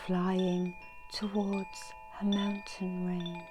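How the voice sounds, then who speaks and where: A middle-aged woman narrating an ASMR guided meditation in a slow, hushed, soothing manner, often slipping into whispers. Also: on the low side, level tone, music in the background. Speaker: guided meditation host, Reiki healer. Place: indoors in a small room. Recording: clear background noise and ambience.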